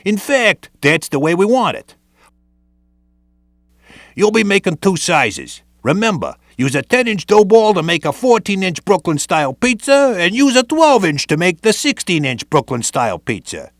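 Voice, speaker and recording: Streetwise New man, lively pitch. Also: normal speed, dry recording, clean studio sound. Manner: streetwise Brooklyn tough-guy patter. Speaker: training video presenter. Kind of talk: scripted instructional narration.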